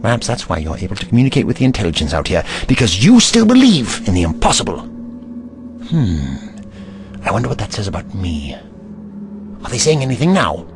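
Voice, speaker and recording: An actor playing an eccentric, kindly and curious investigator in an audio drama, pensive and courtly in manner. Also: male voice, fairly low pitch, huge pitch swings, normal speed, long pauses. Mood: intrigued.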